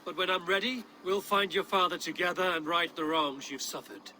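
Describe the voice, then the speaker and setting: A forceful, blunt Viking chieftain. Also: male voice, high-pitched, a little hiss or hum. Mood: resolute. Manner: blunt, measured, commanding. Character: stern, blunt, steady Viking warrior chieftain, adult male. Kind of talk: scripted video game drama acting.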